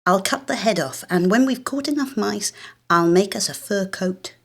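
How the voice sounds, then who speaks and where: A woman's voice: mid-range voice, animated, normal speed, gravelly, slightly rough, pitched above the performer's own voice. A woman acts out a wilful little girl of about seven in a storybook reading. She is the bossy elder sister, commanding and sure of herself as she lays down her plans. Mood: bossy, excited.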